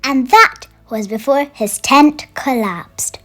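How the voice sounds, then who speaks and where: A storyteller reading a children's bedtime picture book aloud in an expressive way, made for young listeners. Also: high-pitched, takes it slowly. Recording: music under the voice. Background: music.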